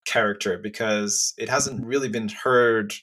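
A man's voice: lowish voice, steady tone, unhurried, fairly bright tone. Enthusiastic man hosting a podcast chat. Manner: enthusiastic, self-described nerd, asks follow-ups. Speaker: podcast host. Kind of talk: casual interview chat.

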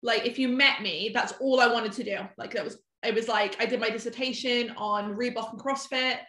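A chatty young woman in her twenties with a bright, forceful voice pitched a little high. She talks in a loose, rambling way, filling her sentences with "like". The sound is roomy and echoey. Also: steady tone, brisk. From half American, half English. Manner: chatty, rambling, heavy use of "like". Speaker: guest photographer. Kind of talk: casual podcast chat. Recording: echoey room.

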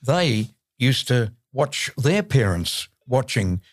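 Brief: elderly gentleman, mid-pitch, measured and courtly, very dry close sound. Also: sing-song, no echo. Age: older. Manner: courtly, measured, old-fashioned phrasing. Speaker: podcast guest co-host. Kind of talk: casual chat.

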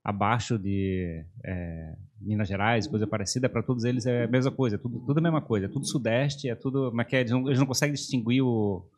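A man's voice: mid-range voice, fast talker, hardly any pauses, a little mellow. A man co-hosting a podcast, asking rambling questions and searching for words mid-sentence. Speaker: podcast co-host. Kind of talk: casual podcast conversation.

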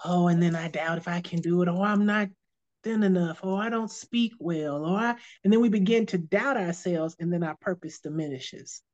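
A woman's voice: on the low side, normal speed, a little mellow, lo-fi sound. A woman in her fifties, a life coach, talking earnestly and encouragingly, often repeating phrases for emphasis. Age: 50s. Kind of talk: casual podcast chat.

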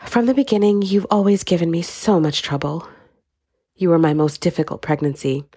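A woman's voice: fairly low pitch, normal speed, warm tone, slightly rough, dry recording. A woman reading an audiobook, voicing a wealthy, middle-aged mother who speaks in a cool, steely, dry way. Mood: stern.

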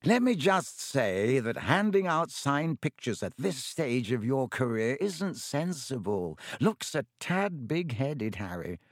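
A man voicing a vain, jovial teacher who booms with pompous, paternal self-satisfaction. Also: big pitch swings, slow, hardly any pauses, dry recording, clean studio sound. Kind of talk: audiobook character voice.

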